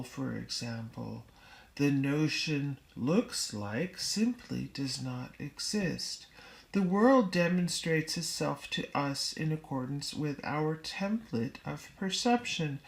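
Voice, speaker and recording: Woman, audiobook narration, slow pace, measured phrasing, deliberate pauses. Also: deep voice. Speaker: audiobook reader. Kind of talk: scripted book narration.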